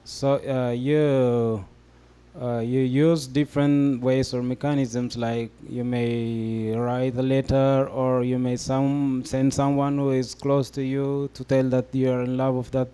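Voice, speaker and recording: A man's voice: medium pitch, level tone, slow pace, mellow, clear, resonant tone. A young man from Ethiopia speaking on a discussion panel, hesitant and full of fillers as he explains his answer. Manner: hesitant, many fillers and restarts. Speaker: panel guest.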